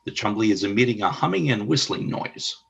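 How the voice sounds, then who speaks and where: Brief: male narrator for a radio play, measured delivery, bright but husky tone. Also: fairly low pitch, lively intonation, highs cut off. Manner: measured, announcer-like.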